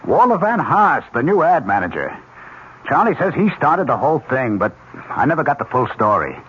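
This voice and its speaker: A man's voice: mid-range voice, sing-song, moderate pace, a bit bright. A man playing an anxious, defensive American junior partner at an advertising agency in a radio drama. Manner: defensive, pleading. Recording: muffled old radio, faint noise.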